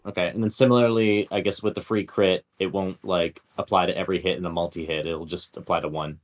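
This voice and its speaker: Deeper voiced guy, game player, just chatting and asking stuff. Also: fairly even intonation, fast talker. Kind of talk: casual chat, asking questions.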